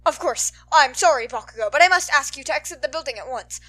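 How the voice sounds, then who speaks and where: A woman voicing an earnest, formal teenage boy in a story, loud, polite and urgent. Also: high-pitched, lively intonation, quick pace, voice pushed up. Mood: urgent, apologetic.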